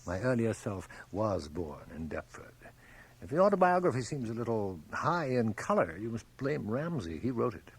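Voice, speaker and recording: An actor playing a suave, self-assured stage magician in a radio drama, speaking formally and precisely with measured theatrical poise. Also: male voice, on the low side, very animated, husky, exaggerated voice. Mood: composed, pointed. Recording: 1980 radio drama, hissy cassette transfer.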